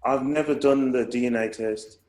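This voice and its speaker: A man's voice: mid-range voice, normal speed, darkish tone, roomy sound, soft background music. A man, a guest in casual conversation, reflective and rambling with restarts. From England. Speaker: guest, hip hop artist.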